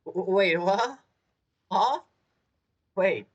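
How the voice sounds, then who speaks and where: A man giving casual let's play commentary as a streamer, halting and repeating his phrases as he reacts to the characters. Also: high-pitched, animated, muffled recording. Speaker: game streamer.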